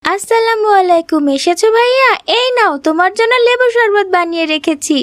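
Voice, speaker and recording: A woman's voice: very high-pitched, fairly quick, dry recording, studio quality. A woman voicing a devoted little sister, a young girl with a tender, pleading manner, in a children's cartoon. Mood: caring. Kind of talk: children's moral cartoon voice acting.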